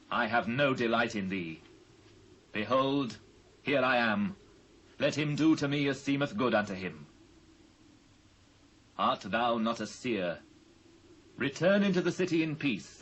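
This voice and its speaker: A British man playing a grave, devout fugitive king in a dramatised scripture, speaking solemn, measured archaic English. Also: medium pitch, fairly bright tone, slightly rough, poor audio. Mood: resigned, commanding. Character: King David, grave sorrowful fugitive monarch, commanding and devout. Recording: faint hiss and ambience, old cassette.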